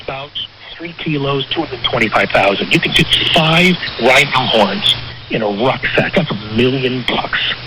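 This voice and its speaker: Very noisy shortwave reception, man, mid-pitched voice, emphatic interview guest, explanatory talk. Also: normal speed. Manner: emphatic, repeats phrases for weight. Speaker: interview guest, criminal defense attorney. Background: loud radio static.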